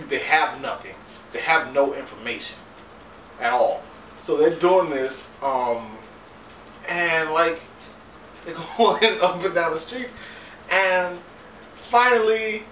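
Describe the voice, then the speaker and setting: A man's voice: on the high side, lively intonation, takes it slowly, long pauses, a bit bright. A man giving a casual comedic recap of a TV episode in an incredulous, laughing, profane way. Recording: roomy, distant mic, faint background noise.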